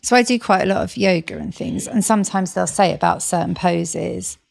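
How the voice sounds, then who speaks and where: A woman's voice: lowish voice, moderate pace, vocal fry. A woman co-hosting a podcast, chatting casually and hedging with fillers. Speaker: podcast co-host. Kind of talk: casual chat.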